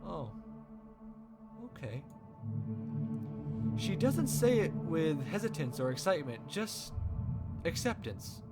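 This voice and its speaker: High-pitched, animated male narrator. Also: takes it slowly, long pauses, loud music behind the voice. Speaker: let's play host reading visual novel. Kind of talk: expressive read-aloud narration.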